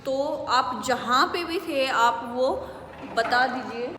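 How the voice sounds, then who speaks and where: A woman with an Indian accent teaching English phrases, saying the model answers slowly and clearly. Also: high voice, pushed and bright, a little hiss or hum. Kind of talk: spoken English lesson in Hindi. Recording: echoey room with long reverb.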